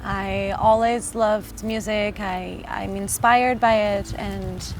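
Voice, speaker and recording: A woman's voice: medium pitch, fairly even intonation, slow pace, noisy background. A woman, a dancer giving reflective interview answers. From Portugal. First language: Portuguese. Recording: music behind the voice. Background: background music.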